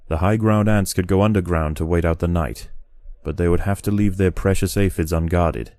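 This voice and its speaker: Very deep, mellow male documentary narrator. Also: no echo, studio quality. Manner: measured, grave, dramatic. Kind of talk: scripted nature-documentary style game narration.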